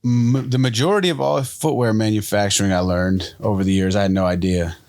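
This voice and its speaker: A young man co-hosting a podcast in casual chat, energetic and storytelling, often laughing. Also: lowish voice, fairly quick. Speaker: podcast co-host.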